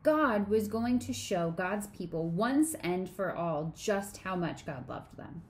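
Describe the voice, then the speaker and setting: Woman reading a children's story gently. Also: mid-range voice, animated, slow, slight background noise. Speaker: children's sermon co-leader. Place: small home room.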